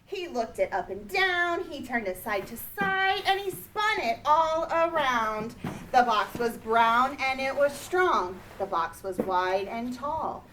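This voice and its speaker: Woman reading kids picture book, slow and expressive, highish voice, bit far from mic w faint noise. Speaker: storybook reader. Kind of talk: children's picture book read-aloud.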